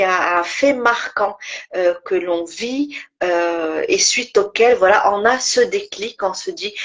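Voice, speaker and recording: Woman hosting a podcast, dull sound. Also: medium pitch. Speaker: podcast host. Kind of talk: conversational interview hosting.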